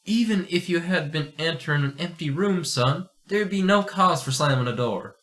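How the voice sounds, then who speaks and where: A man's voice: a little high, expressive, moderate pace, a bit bright. A man playing an earnest, strict astronomer in an amateur radio play, speaking formally and emphatically. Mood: disapproving. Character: earnest, agitated astronomer pleading for change, fatherly and strict.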